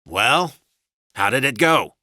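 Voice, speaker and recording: A man voicing a gruff, domineering husband in an audiobook story, with a loud, belligerent, sneering manner. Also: medium pitch, very animated, slow, dry recording, studio quality, voice pushed up, heavily acted. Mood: brusque. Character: gruff, crude, domineering cigar-smoking husband.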